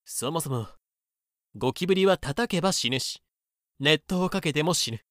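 A man playing a self-important, calmly confident young would-be god, explaining smugly in a fan-made comedic audio drama. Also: on the high side, dry recording, clean studio sound. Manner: smug, measured explaining.

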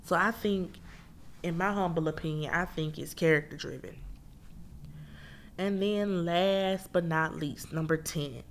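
A woman's voice: on the low side, a little slow, slight background noise. A woman from Memphis hosts a casual book review. She talks to her listeners in a chatty, slangy way. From Memphis, Tennessee.

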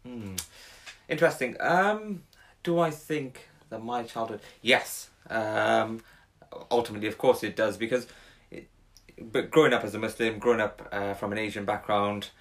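A man's voice: fairly low pitch, normal speed. A young man, talking casually as a podcast guest, rambling with many fillers and restarts. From Wales. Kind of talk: casual conversation.

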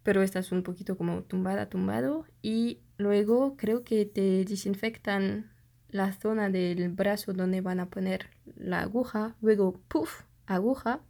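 Young French-native woman vlogger; slow, mellow, hesitant delivery that gropes for words. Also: mid-range voice, vocal fry. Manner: hesitant, searches for words, many fillers. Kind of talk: casual storytelling to camera.

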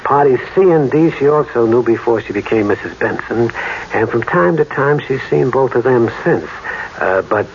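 A man's voice: mid-range voice, expressive, moderate pace, natural voice. Slangy private eye, a man acting on muffled radio. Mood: businesslike. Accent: American. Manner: slangy, matter-of-fact, deferential. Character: deferential, streetwise male private detective, matter-of-fact. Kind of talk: old-time radio drama acting. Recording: muffled, noisy old radio recording.

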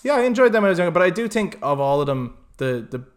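A man co-hosting a casual discussion podcast, talking in an animated, opinionated way with plenty of swearing. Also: high-pitched, racing. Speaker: podcast co-host. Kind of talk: casual film discussion chat.

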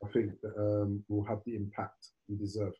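A man's voice: fairly low pitch, monotone, normal speed, highs cut off. A man in casual podcast chat, talking in a rambling way with many restarts and repeated words.

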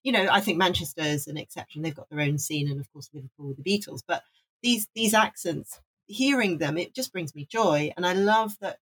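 A woman's voice: lowish voice, fairly quick, fairly bright tone, husky, rough recording. A woman from Wales co-hosting a casual music podcast, talking enthusiastically and rambling with restarts. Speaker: podcast co-host.

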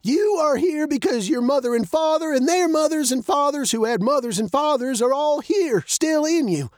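A storybook narrator voicing an old male mountain wanderer, a grandfather given to emphatic, preachy spiels and quick to take offense. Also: high-pitched, expressive, no room sound, clean studio sound, pitched above the performer's own voice. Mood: indignant, preachy.

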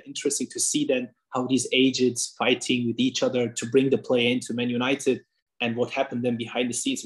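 Guy whose first language is german, chatting earnestly from his kitchen table, lots of uh and restarts. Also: mid-range voice. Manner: earnest, explains with many fillers and restarts. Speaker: author and guest. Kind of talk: casual interview chat.

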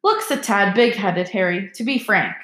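A woman's voice: medium pitch, lively intonation, moderate pace, a bit bright, natural voice. A vain celebrity schoolmaster played by a woman, pompous and jovial, patronising everyone with showy self-importance. A storybook character heard in a roomy, echoing space. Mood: paternal, smug.

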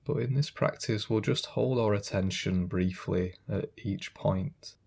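A man leading a guided meditation as a calm, gentle guide, giving unhurried instructions. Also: lowish voice, vocal fry, slightly rough.

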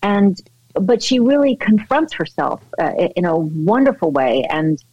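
A woman's voice: lowish voice, sing-song, a little slow, slightly rough, no room sound, lo-fi sound. A woman actress chatting in an interview, effusive and full of fillers and restarts. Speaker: actress guest. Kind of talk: casual interview chat.

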